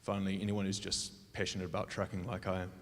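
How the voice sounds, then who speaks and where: A man with a New Zealand accent delivers a quick, cheerful startup pitch, his low voice projected loudly to a crowd. The sound carries a long hall reverb. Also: completely flat delivery. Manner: loud and upbeat, addressing a crowd.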